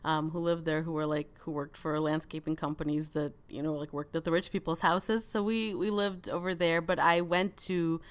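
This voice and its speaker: A woman's voice: deep voice, fairly even intonation, normal speed, hardly any pauses, clean tone. A woman with a mild Chicago accent, born in the Soviet Union, talking as an interview guest in a loose, chatty way full of fillers and restarts. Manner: chatty, many fillers and restarts, laughs between thoughts. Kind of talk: casual interview conversation.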